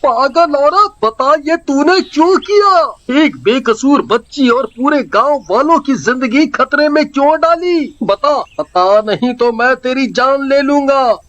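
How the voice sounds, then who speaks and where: Man acting a very high-voiced, dramatic villager. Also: expressive, takes it slowly, hardly any pauses, a bit bright, no room sound, dull audio, exaggerated voice. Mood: furious. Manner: emphatic, dramatic. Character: agitated, righteous village man, protective and hot-tempered. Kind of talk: melodramatic animated story dialogue.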